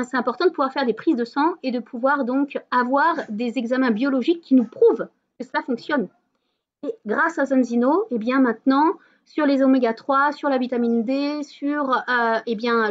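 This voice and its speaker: A woman hosting an online wellness meeting, talking about spirituality and the body in a casual way, often pausing on fillers and restarting her sentences. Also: high-pitched, dull audio. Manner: hesitant, many fillers and restarts.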